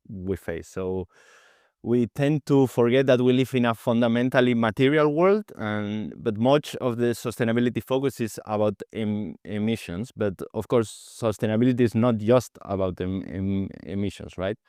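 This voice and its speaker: A man's voice: fairly low pitch, normal speed, a little mellow, clear, resonant tone, dry recording, clean studio sound. A man from Spain in his thirties, a researcher answering podcast interview questions, hesitant with frequent repeated words and restarts. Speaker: guest researcher.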